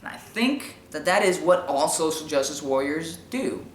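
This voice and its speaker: A man with a high-pitched voice giving informal opinion commentary in an explanatory, argumentative way with emphatic repetition. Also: lively intonation, moderate pace, a little hiss or hum. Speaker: commentary show host. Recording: echoey room sound.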